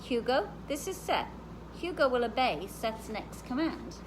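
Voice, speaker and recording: A woman's voice: a little high, big pitch swings, a little slow, noisy background, pitched above the performer's own voice. A woman reading the part of an easygoing, folksy farmhand who gives plain instructions in a storybook. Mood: instructing.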